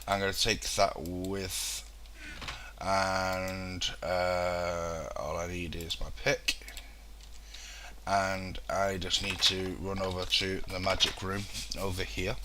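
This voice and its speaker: Low-voiced young man, rambling. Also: little pitch movement, slow pace, background noise. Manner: rambling, many ums and restarts. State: getting a throat infection. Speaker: let's play host. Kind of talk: casual gameplay commentary.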